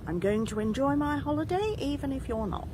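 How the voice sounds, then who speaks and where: A high-voiced, long-suffering mother. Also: animated, a little fast. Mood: resolute, reproachful. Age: middle-aged. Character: long-suffering, romantic middle-aged mother and wife. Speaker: actor in a radio drama. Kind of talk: radio drama acting. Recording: clear background noise and ambience.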